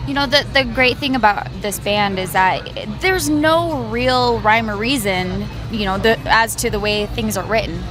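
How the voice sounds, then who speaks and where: A woman's voice: fairly high pitch, normal speed, hardly any pauses, over music. A woman singer from a band answering interview questions in a loose, rambling way with many fillers.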